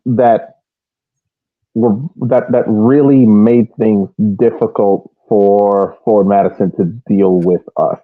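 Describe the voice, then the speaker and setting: A man's voice: fairly low pitch, dry recording. A man co-hosting a podcast, giving casual, detailed match analysis, often restarting and repeating phrases as he builds his point. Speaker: podcast co-host. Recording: thin phone-like band-limited audio.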